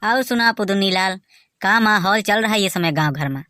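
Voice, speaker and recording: A man with a Bagheli accent voicing a boastful village son-in-law in a comic cartoon, teasing in lively banter. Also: high-pitched, normal speed, a little hiss or hum. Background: music.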